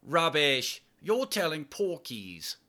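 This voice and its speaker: A cheeky young East London woman played by a male narrator, pitched up and bright. She is slangy, blunt and affectionate, a sci-fi audiobook sweetheart who likes to needle her boyfriend. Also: big pitch swings, slow, full character voice. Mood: teasing, affectionate. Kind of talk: audiobook character dialogue.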